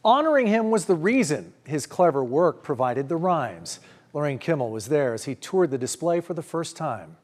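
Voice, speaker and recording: A man's voice: a little high, big pitch swings, moderate pace. A middle-aged American man presenting scripted news as a studio anchor.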